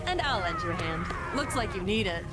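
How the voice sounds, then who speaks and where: A woman's voice: mid-range voice, very animated, brisk, lightly acted, poor audio. A woman voicing a cheerful, teasing young superheroine in a video game. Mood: friendly. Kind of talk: animated video game voice acting. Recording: loud music under the voice.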